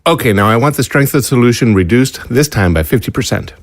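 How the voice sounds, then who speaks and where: An actor playing an uneasy, dryly sardonic male research scientist in an audio drama, halting under pressure. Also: mid-range voice, animated, no echo, studio quality, close to an everyday voice. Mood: clinical, frustrated.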